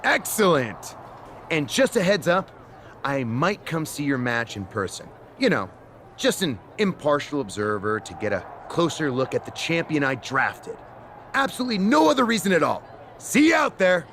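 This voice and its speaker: An actor playing a scheming, smug general manager in a wrestling video game, theatrical and sarcastic. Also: male voice, on the high side, big pitch swings, moderate pace, fairly bright tone, a little hiss or hum. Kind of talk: video game cutscene acting.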